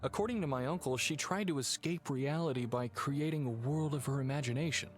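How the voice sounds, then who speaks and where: An actor playing an earnest, troubled young gentleman heir, reflective and formal, in a video game. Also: mid-range voice, a little fast, soft background music, lightly acted. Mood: somber, reflective. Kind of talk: video game cutscene voice acting.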